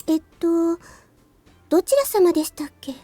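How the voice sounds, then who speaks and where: A perky visual novel schoolgirl, sweetly polite with a cheeky, teasing streak. Her voice is very high and quick, recorded dry. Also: lively intonation, mellow, no room sound, faint music behind. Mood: innocent teasing. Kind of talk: Japanese visual novel voice acting.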